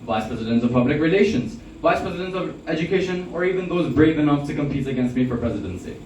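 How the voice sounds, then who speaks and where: A man's voice: fairly high pitch, expressive, fast, a little mellow. A teenage boy delivering a prepared campaign speech in a formal, earnest manner. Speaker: club presidential candidate. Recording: distant mic in echoey room, faint noise.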